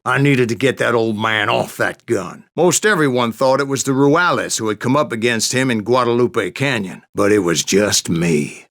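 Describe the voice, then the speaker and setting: An actor playing a grizzled old Wild West gunslinger in a video game, telling boastful tales in a folksy, theatrical American Western drawl with a gravelly voice. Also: male voice, on the low side, sharp tone, exaggerated voice. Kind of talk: dramatic first-person storytelling narration for a western game.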